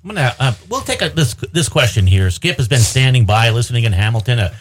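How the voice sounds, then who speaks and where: A man hosting a radio panel show, asking his guests questions in a halting way, full of fillers and restarts. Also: mid-range voice, very animated, breathy edge. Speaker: radio talk show host.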